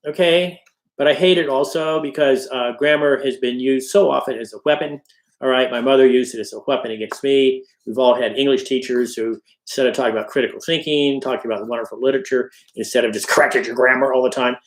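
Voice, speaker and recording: A man's voice: medium pitch, echoey room, poor audio. A middle-aged man, a college instructor, giving an informal recorded lecture and tagging his sentences with "okay?" and "all right?". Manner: conversational, frequent "okay?" and "all right?" check-ins.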